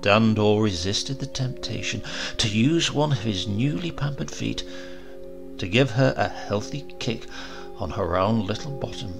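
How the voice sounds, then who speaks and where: A man narrating a science-fiction horror story in an expressive, storytelling manner. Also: medium pitch, vocal fry. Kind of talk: audiobook narration. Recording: reading over music. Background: music.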